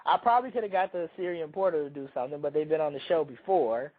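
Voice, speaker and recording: A hoarse man chats casually on a talk show, rambling through a story and often restarting his sentences. His voice sits a little high for a man. The sound comes through a thin, phone-like line. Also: quick pace. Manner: rambling, self-interrupting storyteller. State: hoarse from a cold. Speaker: radio show co-host.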